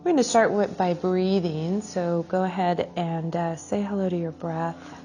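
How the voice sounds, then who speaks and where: A woman leading a guided meditation for a class in a soothing, unhurried way, drawing out phrases and letting the endings trail off softly. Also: lowish voice, steady tone, soft background music.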